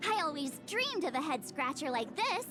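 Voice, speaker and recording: A woman's voice: sits high, very animated, moderate pace, background noise. A woman voicing a perky, boastful, bubbly young girl adventurer in a video game. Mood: excited, confident. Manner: bubbly, exclamatory, eager.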